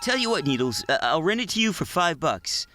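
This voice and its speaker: A man's voice: fairly high pitch, big pitch swings, brisk, loud music behind the voice. A man playing a nervy, stubborn teenage boy in a motion comic, hesitant and easily incredulous. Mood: wary.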